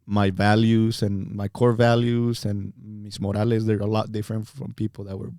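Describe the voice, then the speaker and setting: Blunt young man, dark voice. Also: lowish voice, flat delivery, normal speed, no room sound, clean studio sound. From Mexico. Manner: blunt, swears freely, emphatic. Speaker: podcast guest. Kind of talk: casual chat.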